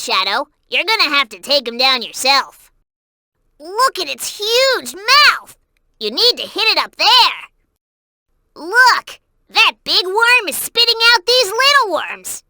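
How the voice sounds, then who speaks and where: A woman voicing an excitable, cute young creature sidekick in a video game, childlike and wide-eyed, exclaiming and giving hints. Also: very high-pitched, no room sound, studio quality, full character voice.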